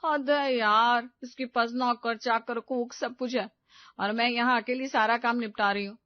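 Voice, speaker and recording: A woman's voice: a little high, steady tone, unhurried, no echo, lo-fi sound, natural voice. A woman voicing an envious, discontented young housewife in a storybook tale, whining and exclaiming with self-pity. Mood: envious, sulky.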